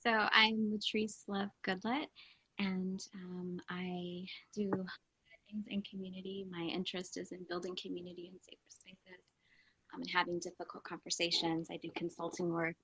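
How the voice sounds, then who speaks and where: A woman's voice: fairly low pitch, level tone, moderate pace, a bit bright, husky, no echo, low-quality recording. A woman co-host introducing herself in a casual, conversational style. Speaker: co-host, consultant and mediator.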